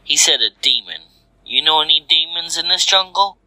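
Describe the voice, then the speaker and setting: A man's voice: a little high, expressive, moderate pace, pushed and bright, no echo, pitched above the performer's own voice, low-quality recording. A man voicing edgy, argumentative henchmen in an urgent, brusque way. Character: edgy, argumentative male jungle henchmen and lackeys.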